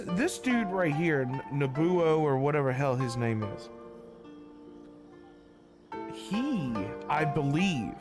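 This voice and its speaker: A man doing casual live stream commentary on a game, rambling and halting as he repeats his words. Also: fairly high pitch. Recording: game music behind the voice.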